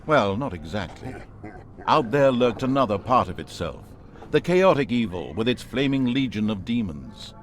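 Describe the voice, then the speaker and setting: An actor voicing a sardonic, self-mocking storybook narrator of a fantasy video game, with pompous theatrical delivery. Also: male voice, mid-range voice, sing-song, moderate pace, faint music behind, close to an everyday voice. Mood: wry, grandiose. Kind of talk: dramatic scripted fantasy narration with tongue in cheek.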